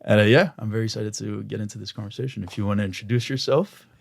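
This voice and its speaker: A young man from Belgium hosting a podcast interview, asking questions in a hesitant, halting way. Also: fairly low pitch, steady tone, creaky. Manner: hesitant, halting, full of restarts. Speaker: podcast host. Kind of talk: conversational interview. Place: radio studio.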